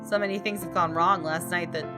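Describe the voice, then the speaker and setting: A woman's voice: fairly low pitch, steady tone, a little fast. A woman gaming host doing a let's play, reading story narration aloud and commenting to viewers. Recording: faint music behind. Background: faint game music.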